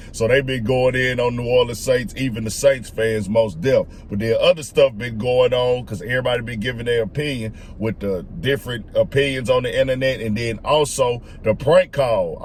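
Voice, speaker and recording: A man's voice: medium pitch, slight background noise. A man giving casual, opinionated sports commentary as a host, slangy and fond of repeated emphatic phrases.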